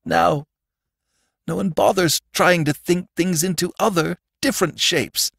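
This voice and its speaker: A terrified alien creature from a storybook, acted out by a man, pleading in a high, strained voice that swings widely. He is frantic and urgent, as if desperate to be believed, in a dry, close recording. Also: very animated, slow, a bit bright, no echo, heavily acted. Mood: frightened pleading. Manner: frantic, pleading, gasping outbursts. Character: terrified shapeshifting alien mistaken for the Sandman, pleading.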